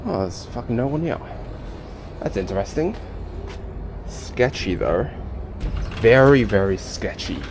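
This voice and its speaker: A man's voice: medium pitch, animated, a little slow, long pauses, darkish tone. A man filming his own vlog in city streets and a restaurant, talking casually to the camera with blunt swearing. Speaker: travel vlogger. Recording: street noise on camera mic.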